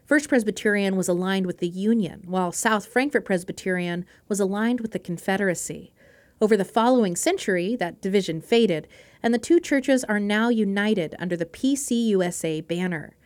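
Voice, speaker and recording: A woman host narrating a scripted local history segment in a measured, clear way. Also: mid-range voice, darkish tone.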